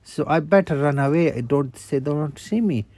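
A man's voice: fairly high pitch, normal speed, darkish tone, slight background noise, natural voice. A man doing a frightened tiger. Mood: scared.

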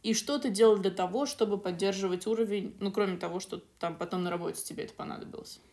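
A woman's voice: medium pitch, fast, darkish tone. A woman co-hosting a podcast, talking in a chatty way with hesitant fillers. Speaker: podcast co-host. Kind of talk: casual podcast chat.

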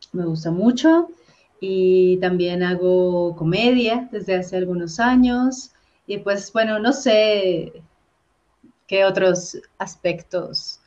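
A woman's voice: mid-range voice, darkish tone. Chatty woman on a dull lo-fi call. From Colombia. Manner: chatty, many fillers and repeated words. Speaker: actress and comedian, guest. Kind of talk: casual interview chat. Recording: dull lo-fi sound.

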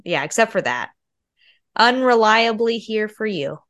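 A woman's voice: mid-range voice, lively intonation, measured pace, a bit bright. A woman in her thirties co-hosting a podcast, nasal and sick with a cold, chatting in a confiding storyteller way. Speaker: podcast co-host. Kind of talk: casual chat.

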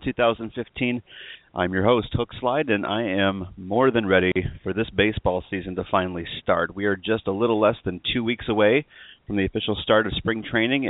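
A man's voice: on the low side, no room sound. A man hosting a podcast and interviewing in a conversational style, over a narrow phone-like line. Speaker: podcast host.

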